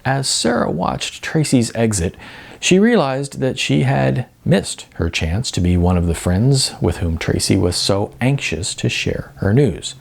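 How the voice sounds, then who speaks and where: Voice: mid-range voice, expressive, measured pace, gravelly. A narrator reading a novel aloud as scripted storytelling. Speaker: audiobook narrator.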